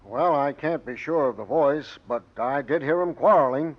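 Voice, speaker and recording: A man acts a folksy witness in a 1940s radio drama. He speaks matter-of-factly with some hesitation in a fairly high voice, on a muffled vintage recording. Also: normal speed, natural voice. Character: plain-spoken, hesitant married male witness.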